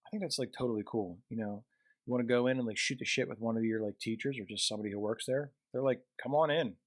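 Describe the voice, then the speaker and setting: Man, mid-pitched, chatty reaction host, filler-heavy, eager. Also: quick pace. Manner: chatty, many "like" fillers, enthusiastic. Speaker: host reacting to a school video. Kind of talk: casual reaction commentary.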